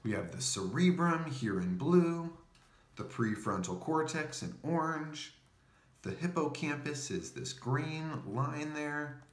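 Male read-aloud narrator for a children's picture book: animated pitch, slow explanatory delivery. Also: roomy sound. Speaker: storybook reader.

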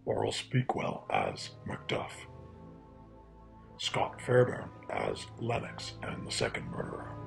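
A man introducing a radio drama in a slow, deliberate, formal way. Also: lowish voice, gravelly. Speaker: announcer.

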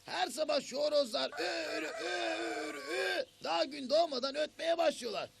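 A man's voice: extremely high pitch, moderate pace, gravelly, fairly bright tone, slight background noise, full character voice. A man voicing a grumpy, touchy cartoon bird who complains in theatrical indignation. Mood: grumbling. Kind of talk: children's cartoon voice acting.

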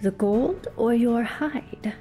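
A woman's voice: a little high, takes it slowly, warm tone, soft background music, voice pushed up. A woman voicing a bold, clever young man who is a tanner, speaking wryly.

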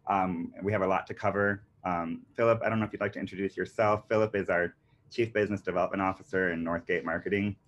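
A man in his thirties presents a business workshop from home over a video call. His voice sits very low, and he talks earnestly, with plenty of fillers and restarts. The call audio sounds dull and lo-fi. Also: fairly even intonation, fast, a bit bright, slight background noise. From grew up in Panama. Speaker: marketing agency founder presenting a workshop. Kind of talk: webinar presentation. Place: indoors on a video call with a virtual beach background. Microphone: computer mic on a video call.

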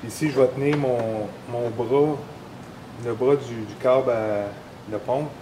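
Man, 40s, Québécois accent, relaxed repair walkthrough, garage workshop, noticeable background hum. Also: mid-range voice, a little slow. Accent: Québécois French. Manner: casual, rambling, with fillers and restarts. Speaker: snowmobile mechanic. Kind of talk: hands-on repair tutorial.

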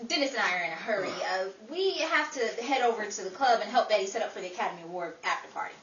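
A young woman playing a brisk, declamatory presenter in a 1950s-style radio show. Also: medium pitch, animated, fairly bright tone, lo-fi sound, natural voice. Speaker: actress and award presenter. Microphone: far camera. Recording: echoey room, distant mic, background noise.

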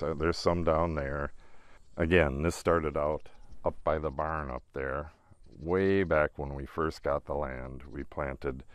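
A man's voice: rumbling low, no echo. A man casually walking through his garden and talking about his plants, rambling and thinking aloud as he goes. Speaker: gardening channel host. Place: outdoors in a garden.

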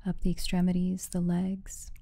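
A woman leading a guided meditation as the guide, giving soothing, measured instructions with phrases that soften into breathiness. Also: lowish voice, completely flat delivery, vocal fry, dry recording.

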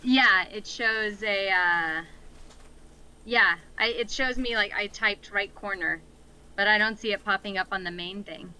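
A woman's voice: mid-range voice, a little slow, pushed and bright, a little hiss or hum, poor audio. A woman chatting casually on an online voice call. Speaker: guest script reader. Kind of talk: casual chat.

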